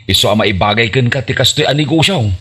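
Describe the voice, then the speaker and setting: A man playing an easygoing young helper in an Ilocano comedy radio drama, husky, with an Ilocano accent. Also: medium pitch, fast, sharp tone. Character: easygoing young man helping at a snack stall.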